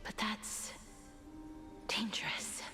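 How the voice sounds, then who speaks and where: A woman voicing a reserved, melancholy lady in a video game, soft-spoken, breathy and hesitant. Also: drawn-out words, whispered. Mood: wistful, guarded. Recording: long reverb, music behind.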